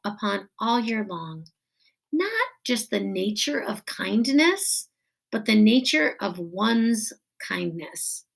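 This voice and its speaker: A woman reading a children's novel aloud in a deliberate, expressive storytelling style with weighted pauses. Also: fairly high pitch, vocal fry. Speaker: teacher reading a book aloud.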